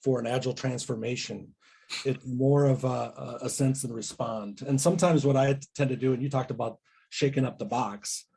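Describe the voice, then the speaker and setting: A man's voice: medium pitch, steady tone, moderate pace, gravelly, mellow, a little hiss or hum. A man speaking as a guest coach in a casual podcast discussion, reflective and tentative, often checking in with tag questions.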